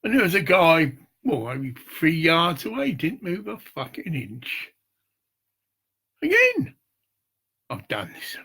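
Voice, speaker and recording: Gravelly, rambling older Englishman. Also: a little high, wildly swooping pitch, slow, long pauses, bright, forceful tone. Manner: rambling, profane, keeps asking "get it?". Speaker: street preacher. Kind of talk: rambling monologue.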